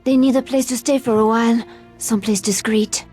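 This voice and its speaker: A woman's voice: a little high, fairly even intonation, a little fast, a little mellow, faint music behind, natural voice. A woman playing a sombre, earnest young woman in a video game. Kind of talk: dramatic video game acting.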